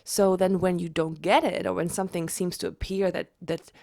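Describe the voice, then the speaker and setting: Raspy woman with a slight cold. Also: lowish voice, fairly even intonation, moderate pace, mellow, no room sound, studio quality. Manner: chatty, repeats herself with restarts. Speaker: manifestation vlogger. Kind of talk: casual explanatory vlog.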